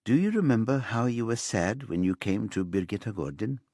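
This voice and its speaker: A man voicing a calm, steady man in an audiobook, gently and deliberately soothing a troubled girl. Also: lowish voice, no room sound, studio quality. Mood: calm, reassuring. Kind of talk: audiobook character dialogue.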